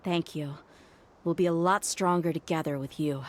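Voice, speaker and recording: An actress playing a tough young female mercenary who speaks in a low-key, resolute way. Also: steady tone, moderate pace, slight background noise, lightly acted. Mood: grateful.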